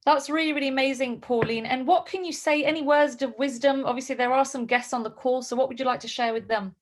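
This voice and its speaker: Brief: upbeat woman host on a video call, high-ish voice, gushing praise. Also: steady tone, quick pace. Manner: upbeat and effusive, repeats words. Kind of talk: hosting an online group call.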